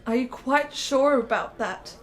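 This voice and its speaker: A woman's voice: sits high, unhurried. An actress playing a frail, lonely, intense sick woman in a period radio play, with earnest, emotional, formal phrasing. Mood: earnest, grateful.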